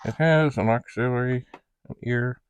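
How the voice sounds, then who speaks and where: A man's voice: medium pitch, normal speed, noisy background. A man chatting casually as a video host, rambling and thinking aloud as he shows an item. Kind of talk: casual unboxing chat.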